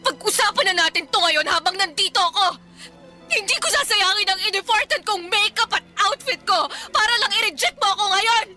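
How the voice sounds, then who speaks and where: Very high-pitched, desperate clingy ex-girlfriend. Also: expressive, brisk, sharp tone, heavily acted. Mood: desperate, agitated. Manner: pleading, emotional, dramatic. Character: heartbroken, clingy, astrology-obsessed young ex-girlfriend, pleading and indignant. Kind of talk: Filipino radio drama acting. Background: background music.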